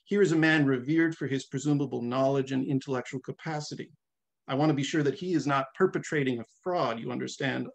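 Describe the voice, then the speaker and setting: A middle-aged man reading a dialogue from a novel aloud in a formal, measured manner. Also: mid-range voice. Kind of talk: dramatic reading of a question-and-answer hearing. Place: small room at home, video call.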